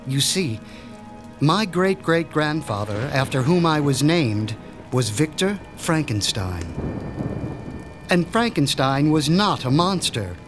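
An actor playing a proud, formal mad-scientist doctor in a radio drama, speaking with precise, pompous diction. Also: male voice, a little high, big pitch swings, slow, music in the background. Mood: measured. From Switzerland. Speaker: mob doctor.

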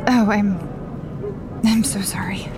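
An actress playing a sleepless, uneasy woman investigator in a naturalistic audio drama, speaking haltingly. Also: steady tone, gravelly, music in the background. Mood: shaken. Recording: loud rumbling airplane cabin noise.

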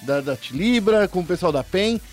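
A man's voice: sits high, animated, moderate pace, faint music behind. A man with a Brazilian Portuguese accent hosting an esports news show in a casual, upbeat presenting style.

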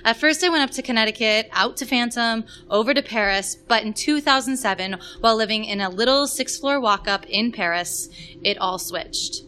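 A woman who performs in musical theatre, reading a scripted personal story aloud in a polished, expressive way. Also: a little high, normal speed, slight background noise.

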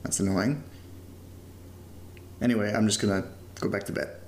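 A man's voice: fairly low pitch, fairly even intonation, normal speed, darkish tone, a little hiss or hum, close to an everyday voice. An actor playing a casual young man who documents his creepy new house in a found-footage horror audio drama, rambling informally with some swearing and self-mockery. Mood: puzzled, annoyed.

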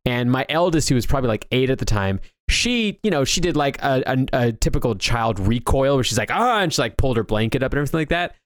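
A man, around his thirties or forties, talks in a casual, anecdotal way as a co-host. His voice has an average pitch for a man. The sound is dry, with very little room around it. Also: lively intonation, fast, few pauses, no room sound. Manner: conversational, anecdotal. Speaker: talk show co-host. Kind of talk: casual film discussion.

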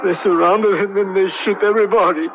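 A man's voice: high voice, fairly even intonation, fast, background noise. An actor playing a Mexican man reporting an attack in a radio Western drama. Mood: grim, urgent. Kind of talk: 1970s radio drama acting. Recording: dull radio recording with music.

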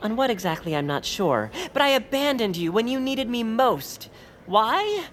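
An earnest amateur stage director in a comic audio drama. The delivery is hesitant and self-doubting, with a lively, expressive pitch. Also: female voice, normal speed. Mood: apologetic, earnest. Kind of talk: scripted comedic audio drama acting.

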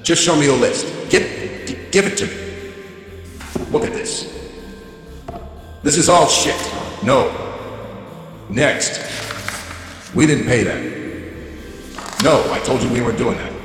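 A man's voice: medium pitch, lively intonation, slow, pauses often, echoey room, in a large hall, faint music behind. An actor playing a greedy, foul-mouthed male corporate CEO giving a bombastic holiday speech in an audio drama. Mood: dismissive. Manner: bombastic, profane, dismissive. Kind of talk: audio drama comedy monologue.

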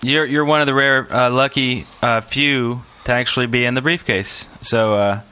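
A man's voice: mid-range voice, fairly even intonation, moderate pace, bright, forceful tone, smooth. A man co-hosting a podcast in easygoing, informal chat. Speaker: podcast co-host. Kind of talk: casual chat.

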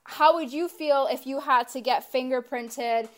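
A woman with a fairly high voice co-hosts a casual podcast. She talks with heat and swears freely, circling back on herself with fillers as she builds her point. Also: measured pace. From grew up in the US after her parents immigrated. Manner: impassioned, profane, rambling with many fillers. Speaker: podcast co-host.

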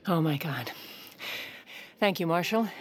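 An actress playing a shrewd, impatient first lady in a comedic audio drama, speaking in a brisk, commanding, dry way. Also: fairly low pitch, animated, faint music behind. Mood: resolute.

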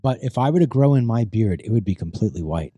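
A man's voice: lowish voice, lively intonation, quick pace, warm tone, no echo. A middle-aged man hosting a casual talk show, chatty and rambling, his voice strained as it recovers from being lost. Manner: chatty, rambling, repeats himself. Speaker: host.

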